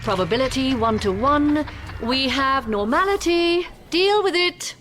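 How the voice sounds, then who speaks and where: A woman's voice: sits high, lively intonation, a little slow, a bit bright, slight background noise. An actress playing a clever, earnest woman space traveller in a radio drama, composed and coaxing in manner. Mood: brisk.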